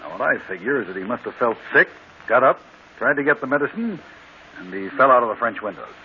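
Fast-talking blunt police inspector, acted by a man. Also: medium pitch, expressive, phone-quality sound, lightly acted. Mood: matter-of-fact. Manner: plain-spoken, colloquial. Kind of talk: old-time radio mystery acting. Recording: noisy, thin old radio broadcast.

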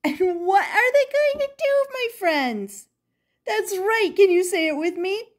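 A woman's voice: very high voice, very animated, unhurried. An older woman, a grandmother, reading a children's picture book aloud expressively and putting questions to her young listeners. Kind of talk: animated children's storybook read-aloud.

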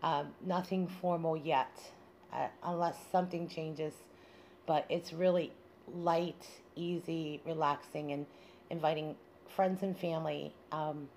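Low-pitched woman hosting, noisy recording. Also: steady tone, slow pace, a little mellow. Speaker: show host. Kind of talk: informal solo monologue introducing a show. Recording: noticeable background noise.

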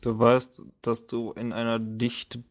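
A man acting as game master, narrating and bantering casually around a tabletop game with halting, hesitant phrasing. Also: medium pitch, completely flat delivery.

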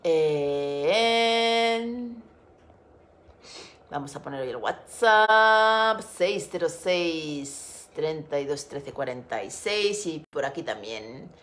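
A woman's voice: low-pitched, lively intonation, very slow, a bit bright. A middle-aged Spanish woman hosting a casual craft livestream from home, chatting and laughing as she greets viewers by name. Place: home room with window. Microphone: device camera on desk. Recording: echoey room.

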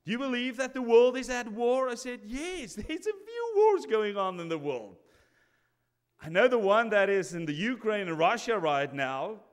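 A man's voice: high-pitched, expressive, normal speed, clear, resonant tone. A man in his forties preaching from a church pulpit, telling a story and acting out both sides of the conversation. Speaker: pastor. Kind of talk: sermon. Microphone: stand mic at pulpit. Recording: long reverb of a church hall.

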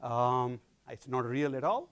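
A middle-aged man with an Indian accent giving an animated public science lecture in a large lecture hall, pacing and gesturing. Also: medium pitch, a little slow, slight background noise.

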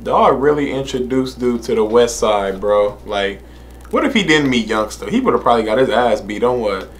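A man's voice: medium pitch, expressive, moderate pace, a little hiss or hum. Laid-back young man reacting to music. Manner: laid-back, laughs often, repeats "man" and "you know what I'm saying". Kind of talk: casual reaction commentary. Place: small room indoors, plain wall behind.

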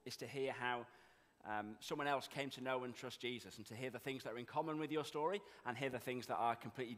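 A man's voice: medium pitch, a bit bright. A young man leading a church service, giving upbeat instructions and introducing speakers from a lectern. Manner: upbeat, directive announcements. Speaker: service leader. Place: church hall with raised stage. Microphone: lectern microphone. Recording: echoey church hall.

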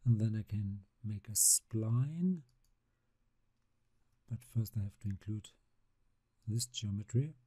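A man, narrating a screen-recorded software tutorial step by step, calmly describing each click. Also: lowish voice, dry recording. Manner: unhurried, step-by-step narration of mouse actions. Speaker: CAD software instructor.

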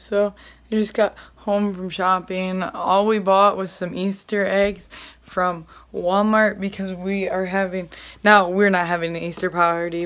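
A teenage girl vlogging casually, rambling with lots of filler words, filmed on a phone at arm's length at home and in a car. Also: fairly low pitch. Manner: chatty, rambling with many "like" fillers. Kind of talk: casual vlog chat.

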